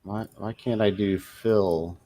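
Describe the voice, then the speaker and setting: A man's voice: fairly low pitch, fairly even intonation, slow pace, dark tone. A man co-streaming from elsewhere, asking puzzled questions as he works things out. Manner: puzzled, questioning, heard remotely. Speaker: co-streaming guest. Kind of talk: casual live co-stream chat.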